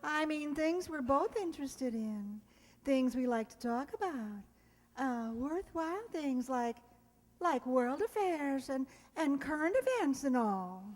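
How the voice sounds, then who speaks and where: A genteel, bossy sweetheart, acted by a woman in an old radio comedy. Her voice is high and very animated, fluttery and coquettish, with long hall reverb around it. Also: measured pace. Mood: gently scolding. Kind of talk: 1940s radio comedy acting.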